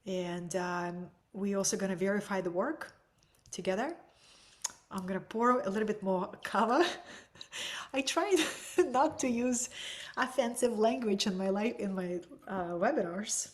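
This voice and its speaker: A woman with a Russian accent, teaching an online webinar from home. She speaks softly and sometimes drops into a breathy whisper. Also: medium pitch, measured pace. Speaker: energy healing teacher.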